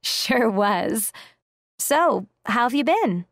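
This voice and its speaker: A woman's voice: on the high side, expressive, drawn-out words, no room sound, clean studio sound. A woman playing a polite, friendly woman in a scripted textbook dialogue.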